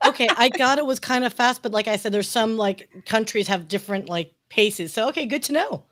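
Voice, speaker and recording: A woman co-hosting a casual online talk show, playful and teasing, with loud laughs. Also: a little high, brisk.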